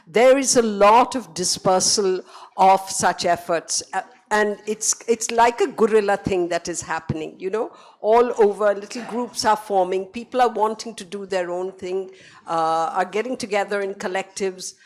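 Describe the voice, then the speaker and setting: A woman answering a question on a panel, measured and thoughtful. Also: mid-range voice.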